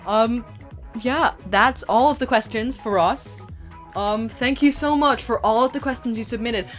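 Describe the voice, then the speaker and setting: A woman's voice: fairly high pitch, unhurried. A woman chatting casually and informally as a series co-creator answering fan questions. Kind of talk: casual Q&A chat. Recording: music playing behind the voice. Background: background music.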